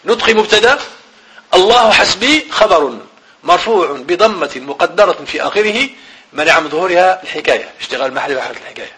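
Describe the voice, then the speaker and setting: Quick, high-voiced Moroccan teacher. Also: male voice, animated, sharp tone, rough recording. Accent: Moroccan Arabic. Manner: explains step by step, repeats phrases, asks questions then answers them. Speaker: grammar teacher. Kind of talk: classroom lesson on Arabic grammar.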